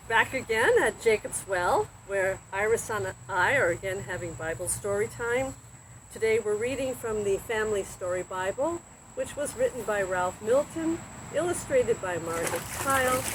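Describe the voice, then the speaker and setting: A woman hosting a Bible story time, reading a children's storybook aloud in simple, deliberate phrases with expressive emphasis. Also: a little high. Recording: very noisy recording with steady background noise.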